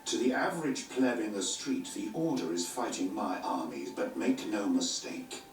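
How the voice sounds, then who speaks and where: A man's voice: lowish voice, very animated, normal speed. An actor playing a brusque, crude male king giving orders in a game cutscene. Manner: brusque, coarse, domineering. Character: crude commanding middle-aged male king. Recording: echoey distant game audio with music.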